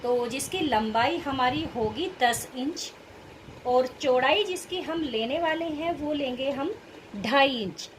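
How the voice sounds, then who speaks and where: High-pitched woman with sore throat. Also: slow pace, slight background noise, rough recording. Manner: explanatory, instructional. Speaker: host of a craft tutorial channel. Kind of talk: casual step-by-step sewing tutorial narration.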